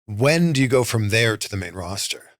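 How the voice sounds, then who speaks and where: Male interview host, lowish voice, dry close sound, friendly prompting questions. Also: animated, normal speed, fairly bright tone, no echo. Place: studio.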